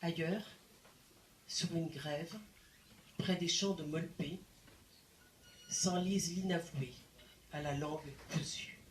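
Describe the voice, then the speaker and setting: A woman reciting poetry in a deliberate, dramatic manner with expressive phrasing and pauses. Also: low-pitched, slight background noise. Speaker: poet reciting. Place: indoor live poetry evening venue. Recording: roomy live recital sound. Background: plucked guitar music.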